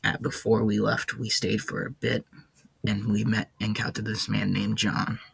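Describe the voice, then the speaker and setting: A man playing a weary, haunted ancient warden in an audio log, speaking in a halting, somber way with a gravelly, husky low voice. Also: monotone, a bit bright, no room sound, full character voice. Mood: weary, grim. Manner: halting, somber, hesitant delivery. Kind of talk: character audio log, slow monologue.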